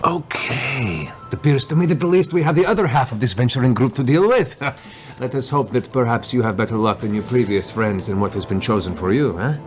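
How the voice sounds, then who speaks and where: A man's voice: mid-range voice, expressive, brisk, soft background music, voice pushed up, close to an everyday voice. A man voicing a cheerful male halfling guild clerk, chatty and formally courteous, in a tabletop game. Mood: amused.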